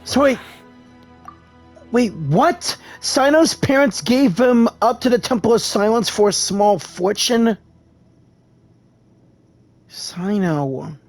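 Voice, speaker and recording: An actor playing an inquisitive, outspoken young companion in a video game, blunt and questioning. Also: male voice, high voice, expressive, slow pace, pauses often, soft background music. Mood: surprised. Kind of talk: scripted video game dialogue.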